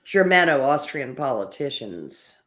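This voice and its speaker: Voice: mid-range voice, sing-song, slow pace, a bit bright. Sarcastic, sweary political panelist. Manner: sarcastic, profane. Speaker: talk show panelist. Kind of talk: casual political chat.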